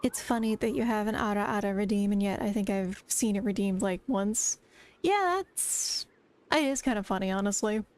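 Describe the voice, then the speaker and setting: A woman's voice: mid-range voice, normal speed, a little mellow. A woman streamer chatting casually to her viewers in a rambling, talkative way. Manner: rambling, chatty, talks to chat. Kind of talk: casual live-stream chat.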